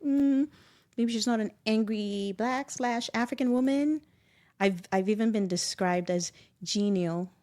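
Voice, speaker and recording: A middle-aged woman speaking reflectively and personally in conversation, with animated, expressive asides. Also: on the high side, measured pace. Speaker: guest.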